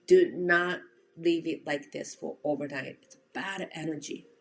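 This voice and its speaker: A woman's voice: deep voice, steady tone, a little slow, a little hiss or hum. A woman from Japan with a Japanese accent, giving casual, direct instruction as a home organizing coach and speaking to the listener in an encouraging, plain-spoken way.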